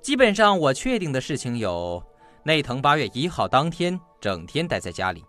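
A man's voice: mid-range voice, huge pitch swings, moderate pace, fairly bright tone, faint music behind. An actor playing a sly, fawning henchman and shady informant, glib and wheedling, in an audio drama. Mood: reporting glibly. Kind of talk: Chinese audio drama acting.

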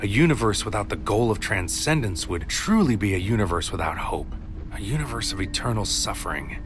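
An actor playing a brooding, tormented man in a dark poetic audio drama, speaking with grave, portentous delivery. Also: lowish voice, expressive, fairly quick, background noise, close to an everyday voice. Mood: grave, resistant. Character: brooding tormented man Maru, weighing judgment of mankind.

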